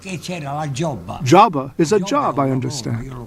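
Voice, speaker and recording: A man's voice: a little high, lively intonation, slow pace, gravelly. A man voicing a translated testimony with a wry, rueful manner. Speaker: voice-over translator.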